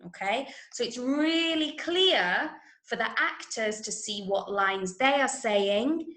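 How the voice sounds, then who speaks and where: A woman from Manchester with an English accent teaching an online workshop to school pupils in a clear, emphatic, instructive manner, often checking they understand. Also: fairly high pitch, expressive, slow, fairly bright tone, reverberant. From Manchester, England. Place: indoors, online video call.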